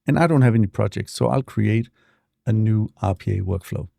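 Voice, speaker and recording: A man walking through a software demo in a relaxed, explanatory way, with frequent fillers, speaking close to a desk mic. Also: fairly low pitch, brisk, creaky, no echo. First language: not English. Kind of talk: screen-share tutorial walkthrough.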